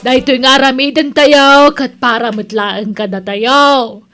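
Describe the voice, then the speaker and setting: A woman's voice: high-pitched, steady tone, a little slow, music in the background. A woman with an Ilocano accent playing a bossy, scheming village women's club president in a comedy radio drama. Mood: scheming, persuasive.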